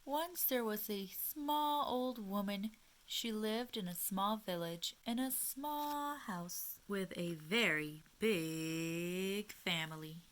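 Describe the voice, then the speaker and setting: Children's read-aloud: female storyteller, bright mid-range voice, slow expressive phrasing, speaks directly to kids. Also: medium pitch. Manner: expressive, child-directed, with pauses and questions to listeners. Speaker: storyteller for young children at a school.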